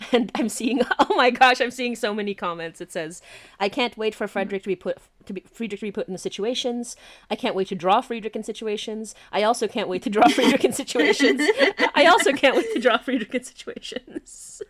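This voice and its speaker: Excitable giggly lady hosting a livestream, chatty, repeats herself to make a point. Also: on the high side, a little fast. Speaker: podcast creator and stream host. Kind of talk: casual livestream chat.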